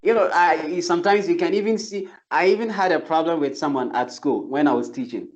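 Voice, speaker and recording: A man's voice: on the high side, brisk. A man who teaches English, explaining his tips step by step in casual talk, often restarting his sentences. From not from Turkey. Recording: slightly dull lo-fi sound.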